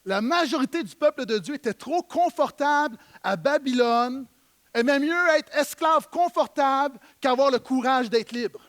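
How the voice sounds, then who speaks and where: A man with a Québécois French accent preaching a sermon in church, emphatic, swinging between loud stresses and quiet low lines. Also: normal speed. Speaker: pastor.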